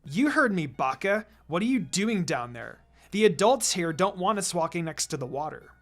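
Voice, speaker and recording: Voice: mid-range voice, very animated, moderate pace, fairly bright tone. Narrator voicing a sassy, irritated young girl. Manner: snappy, teasing, dismissive. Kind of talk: acted fan fiction dialogue.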